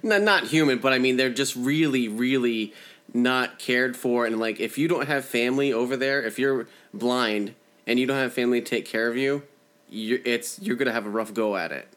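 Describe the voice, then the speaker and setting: A man's voice: mid-range voice, fairly bright tone. A man co-hosting a podcast in casual chat, easygoing and agreeable, often restarting his sentences. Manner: easygoing, halting, full of restarts and quick agreement. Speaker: podcast co-host.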